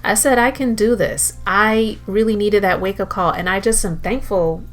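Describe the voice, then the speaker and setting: A woman telling a personal motivational story in an earnest way, with deliberate, emphatic repetition. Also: medium pitch. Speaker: entrepreneur telling her story. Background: background music.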